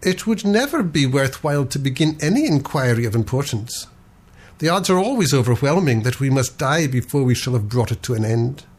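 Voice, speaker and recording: A man voicing a calm, philosophical sea captain who reasons in a gentle, lofty manner. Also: sits high, a little slow, voice pushed up, full character voice. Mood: musing.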